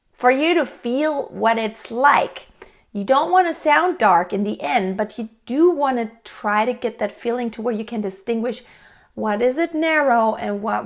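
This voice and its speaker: A woman teaching singing, explaining technique in a friendly, patient way and answering a viewer's question. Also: on the high side. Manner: friendly, explanatory, with sung demonstrations. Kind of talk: vocal coaching tips to camera.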